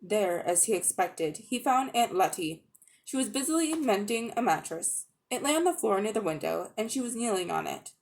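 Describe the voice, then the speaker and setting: A woman reads a story aloud in a mid-range voice. Her narration is expressive and dramatic, in the manner of a children's audiobook. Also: mid-range voice, normal speed, low-quality recording. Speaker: audiobook reader.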